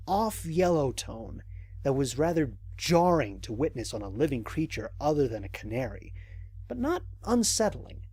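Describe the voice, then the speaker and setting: An actor playing a cautious, observant male space explorer, recording mission logs in a measured, reflective way for an audio drama. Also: on the high side, a little mellow, husky, no room sound, heavily acted. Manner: measured, reflective, formal diction with deliberate pauses. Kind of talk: scripted audio drama monologue.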